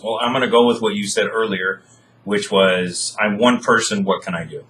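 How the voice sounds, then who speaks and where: A man in his thirties with a bright, firm tone, caught in a relaxed argument. He stops and restarts his sentences, gives ground, then pushes back. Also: on the low side. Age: 30s. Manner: halting, searching for words, conceding then pushing back. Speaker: co-host debating. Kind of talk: casual argument.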